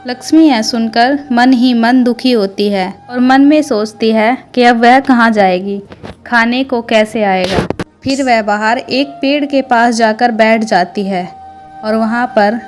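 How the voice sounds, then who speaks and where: A woman narrates a scripted cartoon moral tale in a fairly high voice. Music plays under her storybook delivery. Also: a little slow. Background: background music.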